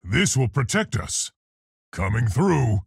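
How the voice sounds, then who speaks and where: An actor playing a big, earnest, awkward scientist gorilla in a video game, with a gravelly, husky voice and deliberate, scholarly phrasing. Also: male voice, medium pitch, sing-song, no echo, clean studio sound, exaggerated voice. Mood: confident, earnest. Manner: deliberate, precise, scholarly, hesitant. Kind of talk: video game character voice lines.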